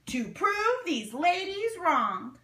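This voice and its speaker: Lady reading as earnest farm guy in a kids book, high bright voice, big speechy emphasis. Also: very animated, slow pace, reverberant, full character voice. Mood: rousing. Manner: emphatic, oratorical. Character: earnest, rousing farm man rallying his club. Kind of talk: storybook character voice.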